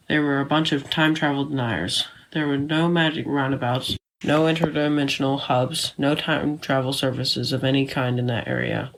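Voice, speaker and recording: A man's voice: medium pitch, fairly bright tone, a little hiss or hum, natural voice, low-quality recording. A man playing an easygoing, adventurous teenage boy, narrating his own story in a matter-of-fact way for an audio drama. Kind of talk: scripted first-person story narration.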